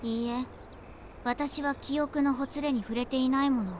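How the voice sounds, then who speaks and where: A woman playing a composed, mysterious young guide in a game cutscene, measured and matter-of-fact. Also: high voice, fairly even intonation, darkish tone, slight background noise, lightly acted. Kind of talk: animated game cutscene acting.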